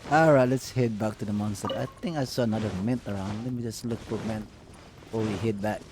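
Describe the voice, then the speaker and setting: Very low-voiced woman streamer. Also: quick pace, noisy background. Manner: laughing, playful, chats along with the characters. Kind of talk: casual commentary over a game. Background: game music.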